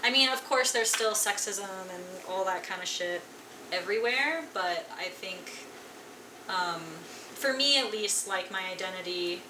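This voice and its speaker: A woman's voice: mid-range voice, unhurried. A young woman musician from Colorado, chatting casually in an interview with lots of "like" fillers. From Colorado, USA. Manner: relaxed, filler-heavy, frequent "like". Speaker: guest musician. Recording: echoey room, distant mic, noisy.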